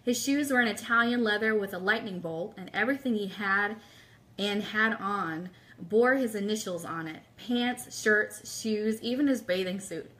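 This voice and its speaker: A woman's voice: medium pitch, moderate pace, pushed and bright. A woman hosting a radio show livestream, chatting casually and enthusiastically with her audience and reading aloud. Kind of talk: casual livestream chat with book read aloud.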